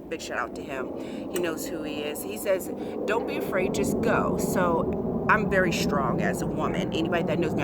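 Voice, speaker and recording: A woman's voice: low voice, heavy background noise. A woman in her forties talking frankly and chattily to her viewers in a casual personal vlog. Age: 40s. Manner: frank, chatty, emphatic, talks straight to viewers. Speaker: vlogger.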